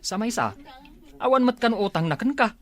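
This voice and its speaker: A man's voice: extremely high pitch, lively intonation, moderate pace, fairly bright tone. A man with an Ilocano accent playing a fussy, officious school staffer in a comedy drama. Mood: gossipy.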